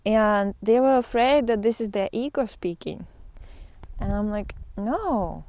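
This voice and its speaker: A woman giving an informal spiritual talk to followers in a halting, reflective way with drawn-out pauses, as a vlogger out on a walk. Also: medium pitch, expressive, darkish tone, dry recording.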